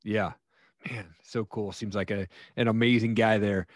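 A man's voice: on the low side, steady tone, normal speed, dry recording, studio quality. An American man hosting a casual interview in an informal, encouraging, buddy-like way. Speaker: podcast host, interviewer.

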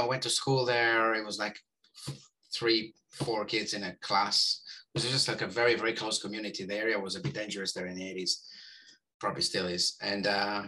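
Israeli guy in his forties, low and bright-toned, loose rambling interview talk. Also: poor audio. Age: 40s. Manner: rambling, many fillers and restarts. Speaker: guest. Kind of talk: casual interview chat.

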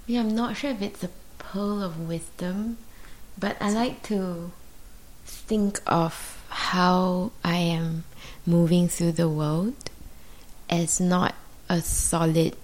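A woman whose first language is Mandarin, talking reflectively as a guest in a conversation, searching for words with many pauses and fillers. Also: lowish voice, steady tone, a little mellow, rough recording.

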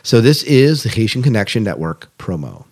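A man's voice: on the low side, big pitch swings, moderate pace, slightly rough. A man hosting a podcast in a casual style, chatting and reading out news with conversational asides. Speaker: podcast host.

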